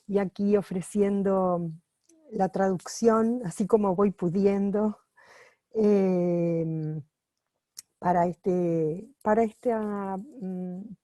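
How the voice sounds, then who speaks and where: A woman reading a book aloud while translating it on the fly, pausing often with fillers and restarts. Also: mid-range voice, mellow, no room sound. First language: not Italian. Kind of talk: unscripted sight-translation reading.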